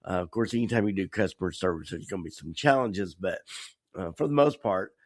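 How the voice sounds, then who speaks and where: A middle-aged American man talking casually as a podcast host, halting and meandering, a bit croupy from a cold. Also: low voice, expressive, dry recording, clean studio sound. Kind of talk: casual personal podcast monologue.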